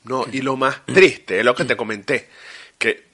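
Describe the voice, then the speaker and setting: Un hombre con acento venezolano, copresentador de un podcast, que charla de forma enfática y con jerga. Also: male voice, mid-range voice, measured pace, sharp tone. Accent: Venezuelan Spanish. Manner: emphatic, slangy storytelling. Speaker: podcast co-host. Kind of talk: casual chat.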